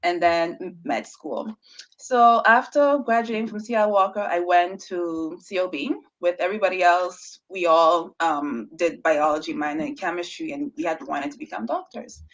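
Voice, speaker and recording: A woman's voice: fairly low pitch, moderate pace, muffled recording. Woman who stutters while telling her story. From Bahamas. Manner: stutters, repeats words, laughs often. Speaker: aquaculture researcher, guest. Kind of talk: casual interview storytelling.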